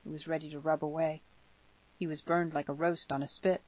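A woman voicing a grave, earnest young man in an audiobook, speaking gently and solemnly. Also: low voice, flat delivery. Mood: haunted, solemn. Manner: slow, gentle, solemn, plain-spoken. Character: grave, earnest, gentle twenty-two-year-old man. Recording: slightly muffled lo-fi audio.

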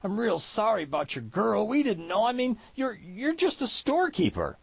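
A man's voice: mid-range voice, big pitch swings, moderate pace, vocal fry, husky, no room sound, dull audio, voice pushed up, full character voice. A man voicing a big, brutish mountain man outlaw in a Western story, with a folksy rural American accent. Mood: frightened, pleading. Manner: folksy drawling threats and stammering pleas. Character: big brutish mountain man outlaw, swaggering bully turned craven pleader.

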